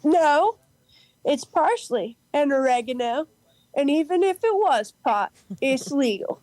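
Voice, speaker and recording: An eccentric, proudly odd and cheerful woman in an amateur audio play, acted by a woman. Her voice is notably high and close, with no room sound. She steps haltingly through phrases, then bursts out emphatically. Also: lively intonation, darkish tone, dry recording, rough recording. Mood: earnest, proud.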